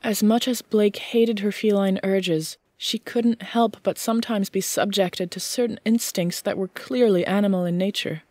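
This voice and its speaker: A woman reading scripted fiction as an audiobook narrator, in a measured storytelling manner. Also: mid-range voice, fairly even intonation, a little mellow, no room sound.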